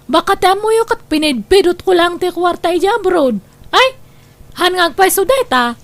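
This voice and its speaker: A woman's voice: very high voice, lively intonation, moderate pace, no echo. A woman playing a thrifty, self-assured young businesswoman in a family comedy drama. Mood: firm, self-assured. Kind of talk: Ilocano comedy drama acting.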